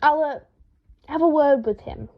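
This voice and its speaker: A woman reading aloud, voicing a gentle, sheepish young wizard man who mumbles softly to placate. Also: high voice, a little slow, lo-fi sound, pitched above the performer's own voice. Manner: soft and mumbling.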